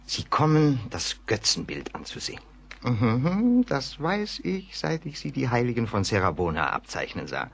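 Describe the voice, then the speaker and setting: A man's voice: medium pitch, wildly swooping pitch, moderate pace, gravelly, breathy edge, exaggerated voice. A man playing an eager, talkative Catalan mountain guide in a German radio play, deferential and curious.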